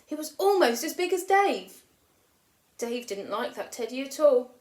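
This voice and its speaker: A woman teacher reading a children's picture book aloud with expressive storytelling, lifting her voice for the children's lines. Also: sits high, a little slow. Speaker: primary school teacher. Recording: roomy echo.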